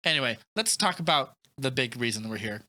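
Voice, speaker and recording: A man's voice: medium pitch, lively intonation, a little fast, bright, forceful tone, no echo. A man hosting a casual movie chat podcast, blunt and opinionated, swearing freely as he talks. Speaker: podcast host.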